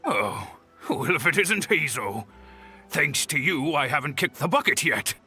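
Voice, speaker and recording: An actor playing a blunt, stubborn man of seventy in a video game, gruff and curmudgeonly. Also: fairly high pitch, expressive, unhurried, sharp tone, heavily acted. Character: gruff, grumpy seventy-year-old man, sharp and stubborn.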